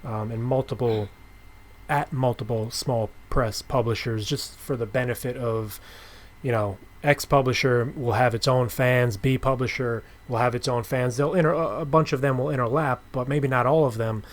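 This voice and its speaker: A man hosting a podcast, chatting casually and haltingly as he rephrases his way through questions and remarks. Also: medium pitch. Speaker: podcast host interviewing a writer. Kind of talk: casual conversational interview.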